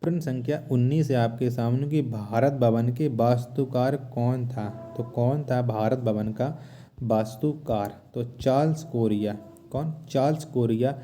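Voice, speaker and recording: A man's voice: medium pitch, warm tone. A man teaching a lesson, reading out quiz questions, asking them again and restating each answer. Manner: repetitive, asks then restates answers. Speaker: exam-prep teacher.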